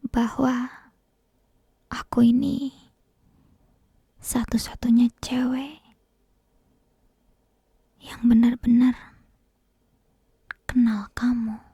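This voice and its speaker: A woman playing an obsessive, possessive yandere kidnapper in an ASMR roleplay, whispering slowly and breathily with menacing sweetness, close to a binaural microphone. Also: fairly high pitch, mellow, slightly rough, dry recording, exaggerated voice. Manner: slow, lingering, menacingly sweet delivery. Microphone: close binaural ear microphone.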